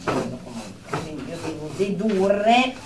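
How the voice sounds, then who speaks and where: A woman's voice: low-pitched, sing-song, extremely slow, very noisy, full character voice. A woman playing a stern, condescending psychiatrist in a radio drama, clinical and emphatic in manner. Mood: clinical, probing. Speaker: therapist character. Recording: echoey room, distant from mic.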